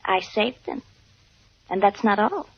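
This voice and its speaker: Breathy, creaky-voiced scheming mistress. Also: female voice, medium pitch, animated, a little slow, exaggerated voice. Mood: coolly scheming. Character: alluring, devoted, scheming mistress of a rich man. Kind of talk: 1950s radio drama acting. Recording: dull old radio recording.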